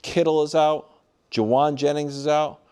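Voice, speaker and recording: A man's voice: on the high side, steady tone, moderate pace, warm tone, clean tone. A man on a sports panel talking informally and exhorting his fellow panelists. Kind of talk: casual sports banter.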